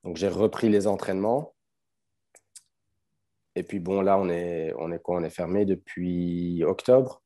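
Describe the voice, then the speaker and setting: A man with a Belgian French accent, a strength coach talking as a podcast guest in a relaxed, hesitant way with many fillers. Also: low voice, measured pace, long pauses. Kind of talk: casual podcast conversation.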